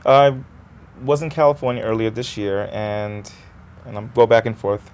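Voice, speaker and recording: A man's voice: fairly low pitch, normal speed, darkish tone. A man, an actor guest on a talk show, telling stories in a meandering, joking way. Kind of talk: casual interview chat.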